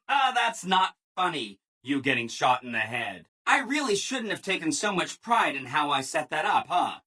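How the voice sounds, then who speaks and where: An actor playing a relentlessly cheerful, eager-to-please helper robot in a video game, with perky, over-agreeable enthusiasm. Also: male voice, fairly high pitch, very animated, a little slow, bright, forceful tone, husky, exaggerated voice. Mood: cheerfully apologetic. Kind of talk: video game character acting.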